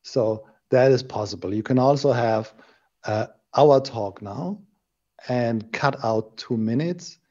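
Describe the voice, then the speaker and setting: A middle-aged man speaking in a measured, thoughtful way in a casual video call discussion. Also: medium pitch, darkish tone. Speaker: panel guest. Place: indoor room on a video call. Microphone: desk microphone on a video call. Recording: dull lo-fi video call audio.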